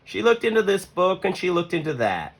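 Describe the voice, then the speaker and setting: A man reading a children's story aloud as an expressive narrator, with deliberate pauses between phrases. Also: sits high. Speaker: storybook reader.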